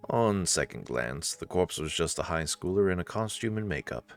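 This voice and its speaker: A man's voice: sits low, expressive, moderate pace, faint music behind. A man narrating a visual novel playthrough expressively, with casual asides.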